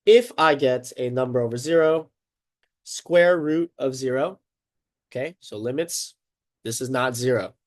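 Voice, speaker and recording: A man teaching a math lesson while congested, a little sniffly throughout. His voice sits in an ordinary middle pitch. He keeps it upbeat and chatty, dropping "okay" and "right?" between steps. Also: unhurried. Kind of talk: casual math lesson at a whiteboard.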